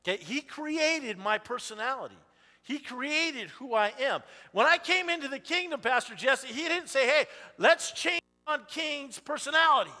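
A man in his forties preaching an informal sermon in a church, talking loudly and animatedly while he walks among the audience. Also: very high-pitched, moderate pace. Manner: loud and animated, walking the stage and aisles. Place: church sanctuary with a stage. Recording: long reverb of a church hall.

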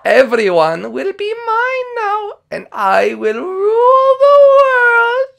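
Evil cartoon queen, high creaky voice, bossy n gloating, super theatrical. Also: wildly swooping pitch, slow pace, fairly bright tone, heavily acted.

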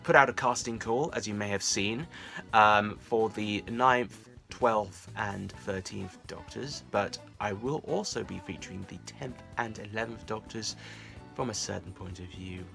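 A man in his twenties giving a casual, effusive update to camera in an informal, animated way, recorded in a small room at home. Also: lowish voice, measured pace, creaky, faint music behind. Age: 20s. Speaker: creator of a fan audio series, vlog host.

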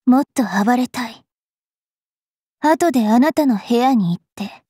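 A woman voicing a bookish, sharp-tongued young fairy witch knight in an anime mobile game, switching between prim politeness and rough taunts. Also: fairly high pitch, unhurried, dry recording, clean studio sound. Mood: smug, composed.

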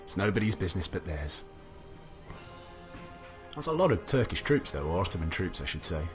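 Man, low voice, slightly gravelly, recovering from cough, casual let's play commentary, faint background music. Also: sing-song, normal speed. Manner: musing aloud, chatty, self-talking. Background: faint game music.

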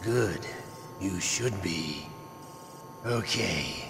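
An actor playing a sadistic, theatrical interrogator in a video game, with a menacing, taunting, drawn-out delivery. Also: male voice, sits low, lively intonation, vocal fry, full character voice. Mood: gloating, sadistic. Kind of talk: video game villain acting. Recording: echoey metallic room, faint noise.

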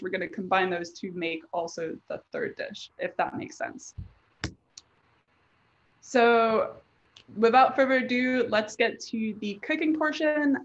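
A woman's voice: medium pitch, fairly bright tone. A woman presenter explaining things in an informal, conversational way during an online slide presentation. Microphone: online video presentation. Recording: dull, lo-fi online-call sound.

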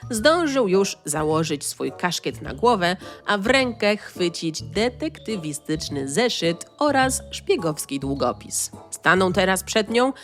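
A woman narrating a children's audio story in an expressive, animated way. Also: on the low side, unhurried, hardly any pauses, faint music behind.

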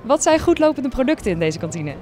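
A young woman reporter with a high, very animated voice asks questions at a fast clip. She speaks into a handheld microphone in a university canteen, with soft music underneath. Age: young adult. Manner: lively, quick questioning. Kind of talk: news report and street interviews. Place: university canteen and outdoor campus.

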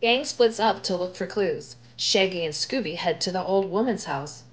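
An older woman reading a children's picture book aloud in an expressive, dramatic way. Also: fairly low pitch, moderate pace.

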